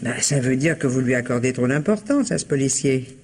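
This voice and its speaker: An actress playing a blunt, wary housekeeper in a radio mystery, plain-spoken and offhand. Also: female voice, rumbling low, expressive, brisk, a little mellow, slightly rough, full character voice. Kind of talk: old French radio mystery acting.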